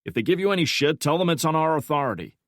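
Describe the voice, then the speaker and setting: A man's voice: fairly high pitch, animated, quick pace, no echo, clean studio sound, pitched above the performer's own voice. A man playing a commanding middle-aged section leader who barks clipped orders. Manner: barking orders, clipped and forceful.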